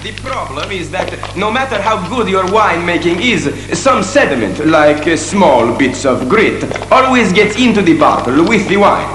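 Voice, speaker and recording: A man's voice: sits high, sing-song, normal speed, few pauses, reverberant, long reverb tail, music in the background, poor audio. A man playing a genial winemaker in an audio drama, explaining his craft eagerly with emphatic asides. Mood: proud, instructive. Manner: emphatic, hesitant asides, tag questions.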